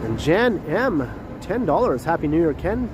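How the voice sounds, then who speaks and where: Guy with a stuffed up nose, kinda high voice, chatty, laughs a lot, street traffic noise behind him. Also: big pitch swings, normal speed. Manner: chatty, laughs often, greets chat readers by name. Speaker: livestream host. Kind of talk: casual walking livestream chat. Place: city street outdoors at night, with traffic.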